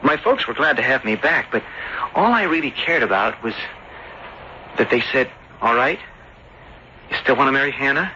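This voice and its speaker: An earnest, lovesick young seafarer in a radio drama. His voice is bright and mid-pitched, with halting, sincere delivery. The sound is a dulled old broadcast with faint noise. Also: animated, muffled recording. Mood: earnest, reflective. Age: young adult.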